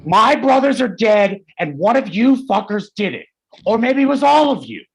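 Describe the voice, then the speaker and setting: A man's voice: high voice, very animated, normal speed, vocal fry, husky, heavily acted, rough recording. An actor playing an officious, hostile policeman with a clipped formal manner, in a staged play reading. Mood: angry, accusing. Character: officious, hostile small-town policeman grieving colleagues.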